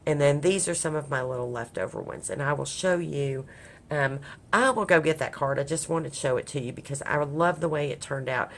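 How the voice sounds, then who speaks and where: Very deep-voiced raspy woman crafter. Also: fairly quick, a bit bright, a little hiss or hum. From Kentucky. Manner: rambling, many ums. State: hoarse from a cold. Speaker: craft vlogger. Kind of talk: casual crafting vlog. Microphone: overhead camera on a craft table.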